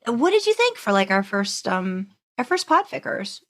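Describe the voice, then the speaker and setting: A woman's voice: medium pitch, sing-song, moderate pace, a bit bright. A woman hosting a podcast in a casual, conversational way, effusive and chatty with rambling asides. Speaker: podcast host. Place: remote video call.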